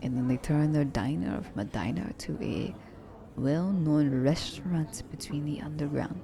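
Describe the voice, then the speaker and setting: This is a poised female assassin, acted out by a woman for an ASMR romance roleplay. Her voice sits deep for a woman, with a dark, relaxed tone. She phrases things formally and teases her captive with doting condescension. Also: moderate pace, vocal fry. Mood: calm, explaining. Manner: formal, slightly stilted wording, teasing. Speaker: voice actor.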